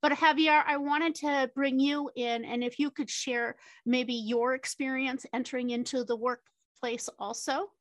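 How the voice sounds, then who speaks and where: A woman's voice: high voice, steady tone, measured pace, no room sound. A woman moderating a panel discussion, chatty, with many fillers and tag questions.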